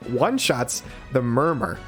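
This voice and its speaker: A man in his thirties giving quick, excited play-by-play of a game on a headset mic, his voice hoarse from a cold. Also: mid-range voice, music in the background. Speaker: game caster. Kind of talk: play-by-play commentary.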